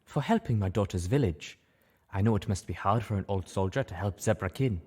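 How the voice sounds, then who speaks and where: A man acts out a grave female zebra healer in a fantasy audiobook. The delivery is quiet, flat and measured. The character comes across as calm, blunt and firm. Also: lowish voice, breathy edge. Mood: quiet gratitude. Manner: quiet, solemn, flat and deliberate.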